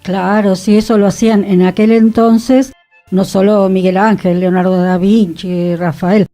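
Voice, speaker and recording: A woman's voice: medium pitch, fairly even intonation, unhurried, dark tone, soft background music. A woman co-hosting a cultural radio talk show, speaking in a thoughtful, conversational way. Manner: thoughtful, conversational, with occasional laughs. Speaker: radio co-host. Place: radio studio.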